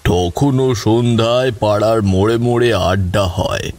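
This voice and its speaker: A man playing an old grandfather who recounts eerie memories in a measured, suspenseful, conversational way. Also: fairly low pitch, darkish tone, lightly acted. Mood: grave, reminiscing. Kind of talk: dramatic first-person horror storytelling.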